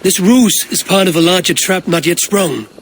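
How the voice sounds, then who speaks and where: An actor playing a steadfast, blunt Viking warrior leader in a video game. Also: male voice, high voice, moderate pace, slight background noise. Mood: urgent warning. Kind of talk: cinematic video game acting.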